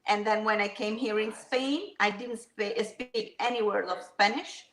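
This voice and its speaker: A woman born in Italy with an Italian accent, a guest speaking slowly and carefully with short pauses. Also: medium pitch, steady tone, fairly bright tone. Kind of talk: casual conversation.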